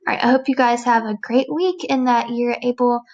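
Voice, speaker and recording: A woman's voice: on the high side, steady tone, fairly quick, darkish tone, lo-fi sound. A woman teacher speaking to young children in a read-aloud lesson, explaining things in simple phrases. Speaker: elementary school teacher.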